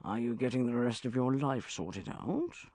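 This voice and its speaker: A woman's voice: fairly low pitch, wildly swooping pitch, a little slow, vocal fry, breathy edge, no echo, heavily acted. Woman putting on a bellowing, pompous banker man. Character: enormous, jowly, leering male merchant banker, patronizing. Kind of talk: audiobook character voice.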